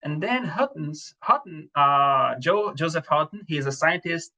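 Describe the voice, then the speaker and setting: A man's voice: fairly high pitch, measured pace, poor audio. Brazilian-accented man giving a webinar lecture. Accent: Brazilian Portuguese. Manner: relaxed, frequent fillers and restarts. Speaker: presenter, assistant professor.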